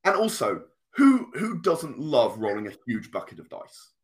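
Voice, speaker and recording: A man's voice: medium pitch, wildly swooping pitch, normal speed. A British man explaining things conversationally as an interview guest, earnest, often restarting and interrupting himself mid-sentence. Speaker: guest, tabletop RPG and comic creator.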